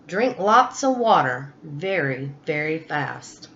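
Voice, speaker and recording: Storybook lion, played by a woman: low, slow, calm and assured. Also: dull audio, full character voice. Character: calm low-voiced lion offering advice.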